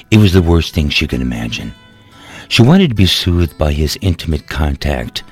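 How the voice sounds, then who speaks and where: A man's voice: rumbling low, a little mellow. A man reading a scripted story as a dramatic narrator. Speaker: author narrating own story. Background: music.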